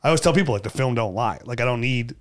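Relaxed male podcast host with a cold. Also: medium pitch, rapid-fire. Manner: easygoing, rambling, joking. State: sick with a cold. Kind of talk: casual podcast chat.

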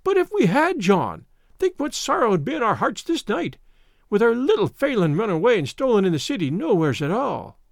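A man's voice: high-pitched, very animated, normal speed, gravelly, dry recording, clean studio sound, pitched above the performer's own voice, heavily acted. A man voicing a sentimental, quarrelsome middle-aged Irish immigrant wife with a lilting, plaintive brogue in a storybook reading.

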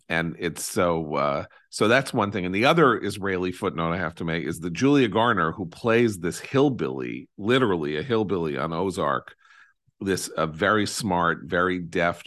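A man hosting a casual chat, talking with enthusiasm in long digressions that trail off quietly. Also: deep voice, no room sound, clean studio sound.

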